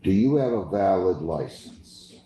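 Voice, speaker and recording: Deep-voiced, stern male judge in echoey courtroom. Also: expressive, measured pace, dark tone. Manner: stern, firm, interrupts and repeats himself. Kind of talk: courtroom questioning and admonishing. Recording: echoey courtroom, faint background noise.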